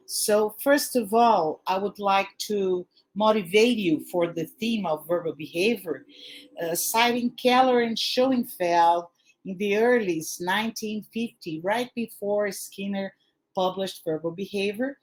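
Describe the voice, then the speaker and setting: A woman's voice: mid-range voice, measured pace, low-quality recording. A woman with a Brazilian accent, a native Portuguese speaker, giving an academic lecture over a video call with frequent fillers and restarts. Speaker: guest lecturer.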